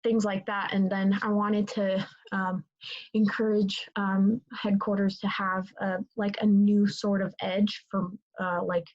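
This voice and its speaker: A woman's voice: medium pitch, level tone, unhurried, a little mellow. Young Italian-born woman, muffled call audio. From Italy. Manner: rambling, many fillers and restarts. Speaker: interviewee, student leader. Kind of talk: casual interview answer.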